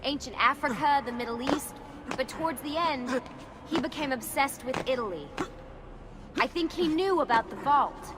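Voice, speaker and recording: A woman's voice: sits high, normal speed, natural voice. A woman playing a serious, determined young team leader in a video game, matter-of-fact and guarded. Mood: sombre, guarded. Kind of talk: video game cutscene dialogue. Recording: clear background ambience noise.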